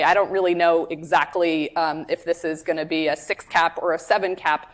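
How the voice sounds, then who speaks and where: Woman on a finance panel, low voice, thinks out loud a lot. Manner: analytical, thinking aloud with "I think". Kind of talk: conference panel discussion. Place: conference room panel.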